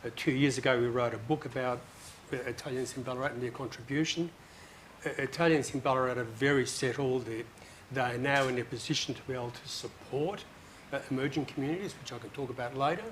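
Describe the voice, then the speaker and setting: A man talks on a panel in an easygoing, halting way, pausing on ums. His voice sits at a middle pitch, with a faint hiss under it. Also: fairly bright tone.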